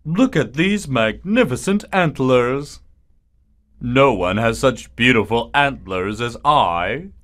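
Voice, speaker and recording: A man plays a proud, fussy storybook stag. He declaims pompously and slowly, his pitch swinging widely as he exclaims. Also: animated, a bit bright. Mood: boastful. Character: vain, boastful, fussy male hart (deer). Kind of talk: children's storybook character acting.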